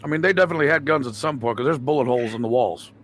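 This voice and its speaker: A man's voice: mid-range voice, racing, fairly bright tone. A man talking casually as a player in a tabletop game, blunt and sweary.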